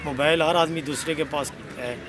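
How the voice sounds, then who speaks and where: A man's voice: fairly high pitch, fairly even intonation, normal speed. A middle-aged man, a street photographer talking earnestly about hard times in an outdoor interview. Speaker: mosque photographer. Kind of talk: documentary interview. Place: outdoors in a busy mosque plaza. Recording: loud outdoor traffic noise.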